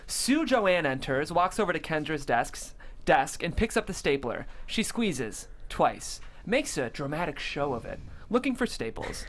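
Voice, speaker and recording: A woman's voice: deep voice, very animated, a little slow. A woman reading stage directions as scripted narration at a comedy table read.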